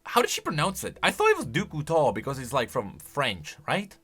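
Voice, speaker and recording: A man's voice: medium pitch, huge pitch swings, brisk, sharp tone, husky. A man giving casual, semi-improvised video commentary, thinking aloud with emphatic delivery. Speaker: YouTube lore commentator.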